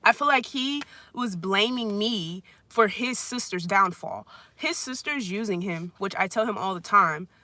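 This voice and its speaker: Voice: mid-range voice, expressive, measured pace, sharp tone, no room sound. A vlogger in their twenties telling a casual storytime rant at a friend's house, rambling with constant "like" fillers and blunt, profane wording. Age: 20s. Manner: rambling, heavy use of "like" fillers.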